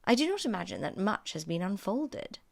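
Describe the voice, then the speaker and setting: A woman's voice: medium pitch, very animated, a little fast, gravelly, no room sound. A woman voicing a spirited, principled young woman in an audiobook novel, speaking firmly and wryly. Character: Elizabeth, spirited, principled young woman defending her sister. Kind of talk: audiobook character dialogue.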